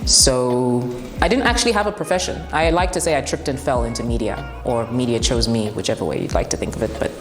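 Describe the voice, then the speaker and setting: A woman's voice: rumbling low, expressive, quick pace, few pauses. A young woman with a commanding voice answering interview questions bluntly and laughing often. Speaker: broadcast journalist and TV presenter. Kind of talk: interview answers, relaxed and frank. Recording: echoey room with long reverb, faint music.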